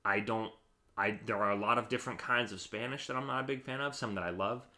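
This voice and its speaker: A man's voice: on the low side, fairly even intonation, quick pace, sharp tone. A man hosting a podcast in casual chat, talking in an opinionated, emphatic way and swearing freely. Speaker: podcast host.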